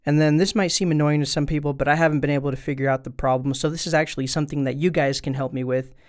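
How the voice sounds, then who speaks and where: A man's voice: on the high side, steady tone, rapid-fire. A man presenting a casual step-by-step tutorial, talking informally and directly to viewers into a studio microphone at a desk. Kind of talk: casual step-by-step instructional explanation. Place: indoors at a desk. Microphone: studio microphone through an audio interface.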